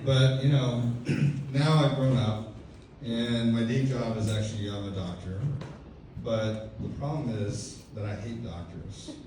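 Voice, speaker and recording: A man's voice: mid-range voice, fairly even intonation, measured pace, noisy background. A man in his fifties from Texas doing a stand-up comedy set, telling dry, self-deprecating stories with lots of casual fillers. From Texas, USA. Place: comedy club stage. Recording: echoey club audio from a distant mic. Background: audience laughter.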